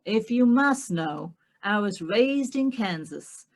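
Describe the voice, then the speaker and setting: A woman voicing a calm, amused American cowboy with a deep, slow drawl.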